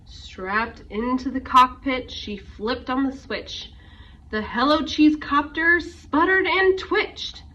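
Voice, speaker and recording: A woman reading a rhyming picture book aloud to young children in an expressive storytelling way. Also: high-pitched, takes it slowly. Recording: muffled, noisy, distant microphone.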